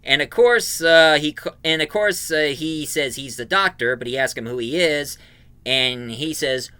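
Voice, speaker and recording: A man giving an informal, emphatic review of an audio drama, recapping it in a halting way. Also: fairly high pitch, pushed and bright, smooth, dry recording.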